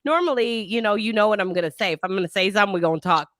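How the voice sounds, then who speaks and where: A woman from Detroit whose first language is Italian, hosting a podcast and chatting in a blunt, joking, animated way. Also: medium pitch, racing, no echo, clean studio sound. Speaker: podcast host. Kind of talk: casual podcast chat.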